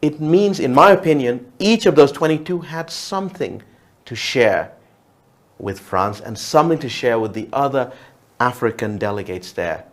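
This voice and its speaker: Reflective Ugandan man, halting speech. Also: medium pitch, lively intonation. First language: Luo. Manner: reflective, many restarts and repeated words. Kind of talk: TV talk show conversation.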